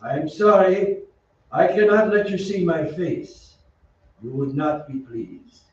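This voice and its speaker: A masked phantom, played by a man speaks in a high male voice, slowly and with formal, threatening courtesy. It is radio-play menace, heard from off in a very echoey space. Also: expressive. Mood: quietly menacing. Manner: slow, formal, politely threatening. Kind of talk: old-time radio drama acting. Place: theatre stage. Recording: very echoey stage, distant, dull, noisy.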